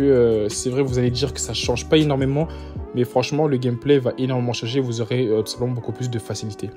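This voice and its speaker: Chatty young French gamer, mid pitch. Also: male voice. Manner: loose, chatty, constant fillers and "tout simplement" restarts; gamer slang, addresses viewers as "les gars". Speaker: mobile game content creator. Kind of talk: casual gaming commentary ranking weapons.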